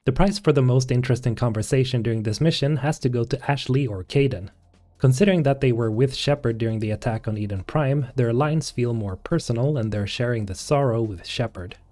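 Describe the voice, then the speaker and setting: A man's voice: medium pitch, fairly quick, warm tone. A man hosting a video with scripted narration. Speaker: video host.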